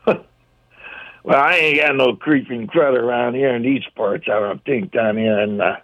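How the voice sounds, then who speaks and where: An older man with a gruff voice calling in to a radio show, chatting in a rambling, folksy way. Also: medium pitch, unhurried, fairly bright tone. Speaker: caller. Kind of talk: casual phone-in chat. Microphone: telephone. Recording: tinny phone line.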